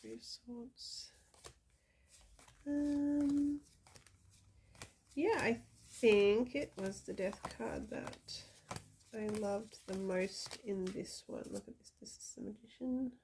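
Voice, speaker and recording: A woman in her thirties, slightly hoarse from a cold, chatting casually with many ums as she shows her tarot decks. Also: a little high, takes it slowly, long pauses, darkish tone, a little hiss or hum. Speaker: psychic reader showing tarot decks. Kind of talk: casual show-and-tell chat.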